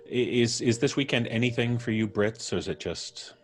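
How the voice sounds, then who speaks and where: A man's voice: mid-range voice, moderate pace. A man hosting a live stream in a casual, chatty, jokey way. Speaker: podcast host.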